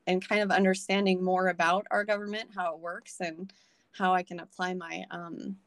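A woman's voice: fairly low pitch, level tone, normal speed, no room sound, studio quality. A young adult woman, a podcast guest, talking earnestly in a casual conversation, with many ums and restarts.

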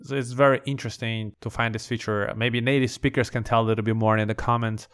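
A man's voice: medium pitch, steady tone, quick pace, a bit bright. Man with a Brazilian Portuguese accent. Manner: conversational, thinking aloud. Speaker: podcast host. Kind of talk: casual language-learning podcast monologue.

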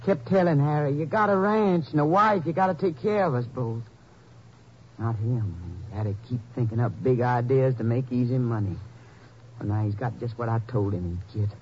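An actress playing a bitter, worn-down rancher's wife in a 1950s radio drama, curt and resentful in manner. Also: female voice, a bass voice, normal speed. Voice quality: flat, clipped, resentful. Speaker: rustler's widow. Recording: muffled, hissy old radio broadcast.

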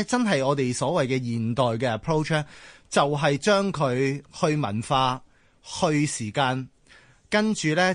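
A man's voice: a little high, no echo. A man with a Hong Kong Cantonese accent talks through ideas as a discussion panelist. His intonation swings widely as he explains and checks that he is followed. The sound is close and dry. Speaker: talk show panelist.

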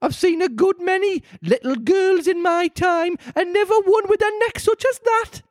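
A woman voicing an indignant, fretful mother pigeon from a storybook in a shrill, melodramatic falsetto. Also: moderate pace, darkish tone, no room sound, studio quality. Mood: scornful. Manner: melodramatic, exasperated.

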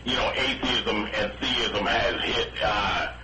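A man from Toronto calling into a show, loud and a little apologetic, stumbling over his words. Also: lowish voice, slow, very noisy. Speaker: caller.

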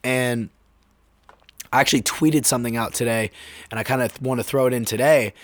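Young man, hoarse from a sore throat, casual slangy podcast talk, rambling, dry close sound. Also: medium pitch, steady tone, a little fast, no echo. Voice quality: slightly hoarse. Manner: laid-back, slangy, rambling with fillers. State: sore throat, getting over being sick. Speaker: podcast host.

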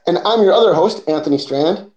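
A man's voice: high voice, animated, a little fast, a little mellow, roomy sound, dull audio. A man in his early thirties co-hosting a casual movie podcast. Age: early 30s. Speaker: podcast co-host.

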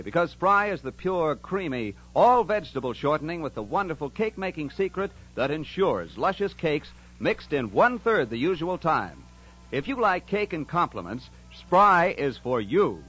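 Male vintage radio ad announcer, very lively inflection, rough-edged tone, punchy sell, dull 1940s-style recording. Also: fairly high pitch. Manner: punchy, emphatic selling.

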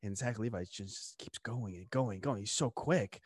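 A man's voice: fairly low pitch, normal speed, husky, dry recording, clean studio sound. A young man hosting a podcast. He chats casually, rambling with lots of fillers and laughing often. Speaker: podcast host. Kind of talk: casual chat.

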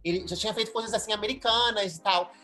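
A woman's voice: mid-range voice, quick pace, sharp tone, soft background music. A Brazilian woman in her thirties, a singer-songwriter, talking expressively in a casual interview. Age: 30s.

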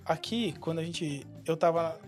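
A man from Brazil co-hosting a casual podcast, talking in a relaxed, agreeable way. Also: on the high side, normal speed, faint music behind. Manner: relaxed, agreeable, leans on "cara" and "né". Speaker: podcast co-host. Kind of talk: casual chat.

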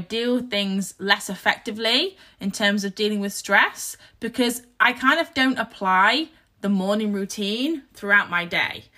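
A woman in her thirties hosts her own podcast while nursing a sore throat, so her voice comes out croaky and husky. Her tone stays bright and forward. She talks in an earnest, reflective, motivational way. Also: mid-range voice. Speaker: podcast host. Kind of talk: casual solo podcast talk.

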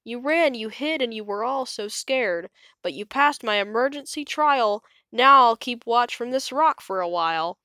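A woman voicing a boastful little male dinosaur prankster with excited, shrill cries. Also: normal speed, no echo.

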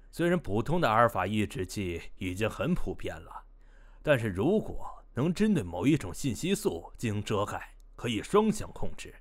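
An actor playing a male scientist doctor in a modern audio drama, explanatory and quietly knowing. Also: on the low side.

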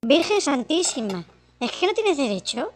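Woman acting a high-pitched, sardonic wife. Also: sing-song, normal speed, a little hiss or hum. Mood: exasperated. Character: long-suffering, sardonic wife and mother. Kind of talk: comic radio play acting.

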